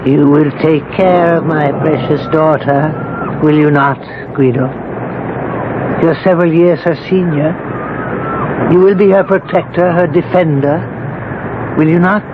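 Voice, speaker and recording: Casting: male actor for an anxious-to-persuade aristocratic father, fairly high voice, earnest radio acting, thin phone-like sound. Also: steady tone, a little slow, pauses often, close to an everyday voice. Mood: earnest pleading. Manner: earnest, insistent entreaty. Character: wealthy Genoese marquis, an earnest, pleading widowed father. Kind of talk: 1970s radio drama acting. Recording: tinny, noisy old radio recording.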